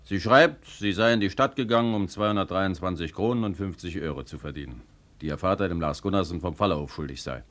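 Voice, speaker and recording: An actor playing a brisk, blunt town lawyer in a 1950s German radio play, formal, direct and dryly amused. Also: male voice, low-pitched, a bit bright. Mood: matter-of-fact. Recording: vintage 1950 radio recording.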